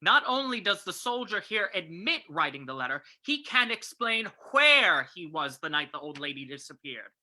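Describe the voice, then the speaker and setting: A blunt police investigator in a radio mystery, voiced by a woman. The tone is very sharp and forceful, with animated, accusing delivery. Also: lowish voice, moderate pace, few pauses, full character voice. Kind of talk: old-time radio drama reading.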